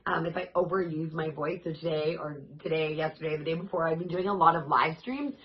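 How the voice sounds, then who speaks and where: A woman fitness coach talking casually to her viewers, her voice raspy and hoarse, in an upbeat, chatty and encouraging way. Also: sits low, a little fast, lo-fi sound. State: hoarse, voice worn out. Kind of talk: casual vlog talk to camera.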